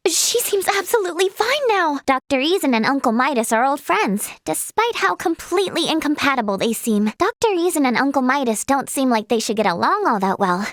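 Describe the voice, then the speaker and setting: A woman's voice: high voice, big pitch swings, a little fast, hardly any pauses, dry recording, clean studio sound. A woman playing a sweet, timid, earnest teenage girl healer in a video game, with a light, girlish voice and a polite, hesitant way of talking. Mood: curious, polite. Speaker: voice actress. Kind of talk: video game character voice lines.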